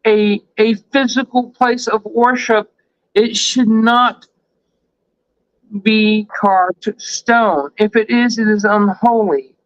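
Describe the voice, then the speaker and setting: A man who has been drinking, rambling with profane, animated riffs in a casual chat. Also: sits high, takes it slowly, no room sound. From Texas. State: tipsy from drinking. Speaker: show host. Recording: dry, slightly dull lo-fi sound.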